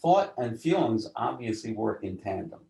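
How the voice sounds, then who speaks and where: A man explaining ideas as an interview guest in a deliberate, teacherly way, often posing rhetorical questions. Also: fairly low pitch, very animated, creaky, dark tone. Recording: roomy, echoing voice.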